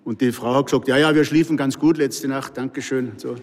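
A man's voice: mid-range voice, fairly even intonation, brisk. An older man with an Austrian Pinzgau accent telling and reading wry, dryly humorous stories to listeners. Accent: Austrian, Pinzgau region. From Pinzgau, Salzburg, Austria. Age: 60s or older. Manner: wry, dry humour. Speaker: storyteller, author reading own stories. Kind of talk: live anecdotes and reading to an audience. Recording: echoey hall with long reverb.

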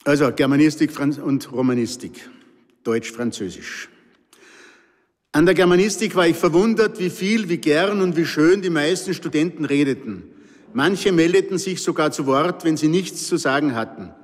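A man's voice: fairly high pitch, expressive, moderate pace. An older man with an Austrian Pinzgau accent telling and reading wry, dryly humorous stories to listeners. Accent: Austrian, Pinzgau region. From Pinzgau, Salzburg, Austria. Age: 60s or older. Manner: wry, dry humour. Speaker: storyteller, author reading own stories. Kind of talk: live anecdotes and reading to an audience. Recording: echoey hall with long reverb.

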